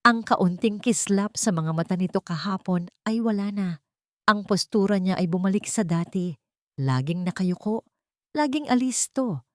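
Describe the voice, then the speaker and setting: A woman's voice: mid-range voice, expressive, moderate pace, no room sound, studio quality. A woman with a Filipino accent narrating a dramatic scripted story. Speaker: audiobook narrator.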